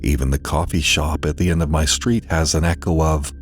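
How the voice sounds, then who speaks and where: An extremely deep-voiced man narrates a dramatized horror story. He is deliberate and theatrical, letting phrases hang in pauses. Faint music runs behind him. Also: steady tone.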